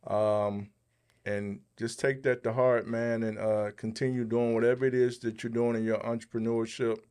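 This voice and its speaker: A man hosting a podcast in a casual, measured and reassuring way, with pauses as he goes. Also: medium pitch, level tone, a little mellow, smooth. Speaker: podcast host.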